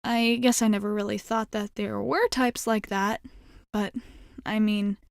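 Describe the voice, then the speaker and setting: A woman's voice: medium pitch, fairly even intonation, vocal fry, no echo, studio quality. A woman playing a nervous, blunt, sporty schoolgirl team captain in an ASMR roleplay, rambling and backtracking as she pleads with the listener. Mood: eager bargaining. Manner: rambling, halting, backtracking mid-thought.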